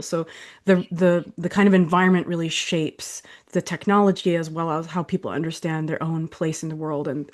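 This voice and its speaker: A middle-aged woman from Saudi Arabia, a historian, giving thoughtful, chatty answers in an interview over a video call. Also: fairly low pitch. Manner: thoughtful, warm, with soft laughs and fillers. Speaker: historian guest.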